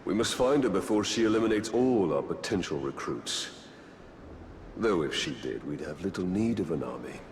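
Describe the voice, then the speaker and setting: An actor playing a grave, sober warrior in a fantasy video game, serious and measured. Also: male voice, low-pitched, lively intonation. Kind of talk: video game character acting. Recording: long reverb, faint background noise.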